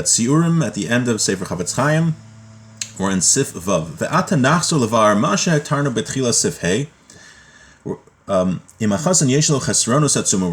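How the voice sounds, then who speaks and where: A man with a fairly low voice teaches a religious text class. He reads passages aloud and then explains them in plain, conversational words, in the informal style of a study lesson. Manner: explanatory, moving between reading a text aloud and plain paraphrase.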